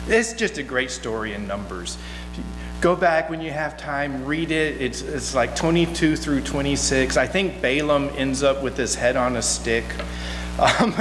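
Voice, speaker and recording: A middle-aged man giving an informal, story-driven sermon from a church pulpit in a folksy, conversational manner. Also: fairly high pitch. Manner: folksy, conversational, animated asides. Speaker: guest preacher. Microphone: gooseneck pulpit microphone. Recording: echoey hall, long reverb, mains hum.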